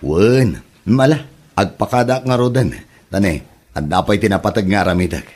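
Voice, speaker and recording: Actor as husky, sweet-talking young womanizer. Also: on the low side, normal speed, darkish tone. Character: jobless, sweet-talking young womanizer son, evasive, cash-strapped. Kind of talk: Ilocano comedy radio drama acting.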